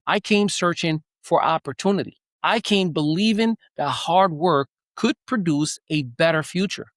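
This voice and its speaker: Moroccan-born guy doing news commentary, kinda high voice, dead dry sound, earnest and opinionated. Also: a bit bright, dry recording. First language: Moroccan Arabic. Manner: earnest, opinionated, with some restarts and fillers. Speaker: news show host.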